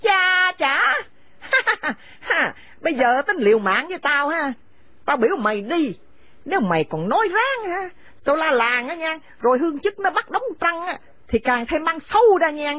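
An actress playing a furious, domineering mother-in-law who shouts insults at her son's wife. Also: high voice, sing-song, extremely slow, hardly any pauses, dry recording, full character voice. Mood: scolding. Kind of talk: radio drama acting.

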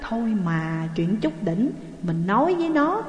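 A woman's voice: fairly high pitch, big pitch swings, dull audio. Woman doing a soothing older mother. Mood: placating. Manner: soft, soothing, slow. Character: calm, placating older mother. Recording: roomy, reverberant space.

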